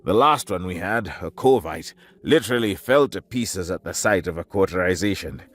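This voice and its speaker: A man doing a cold, weary army surgeon. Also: normal speed. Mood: dry, weary. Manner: low, flat and cold, turning to a vicious hiss.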